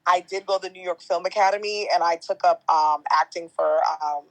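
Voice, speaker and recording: A woman's voice: fairly even intonation, a little fast, no room sound, low-quality recording. A young woman on a podcast, a chatty entertainment host who laughs often and tells stories in slangy, casual talk. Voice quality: deeper natural voice. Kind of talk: casual interview chat.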